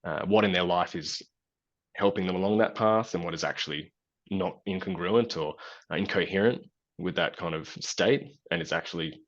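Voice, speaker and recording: Low-voiced man on a video call. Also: moderate pace, lo-fi sound. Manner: thoughtful, many fillers and restarts. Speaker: guest, business founder. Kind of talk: relaxed conversational interview. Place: small plain room indoors.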